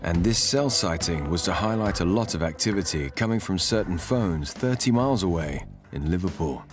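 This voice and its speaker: Male narrator, low register, scripted documentary read, measured dramatic storytelling, clear music bed. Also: lively intonation.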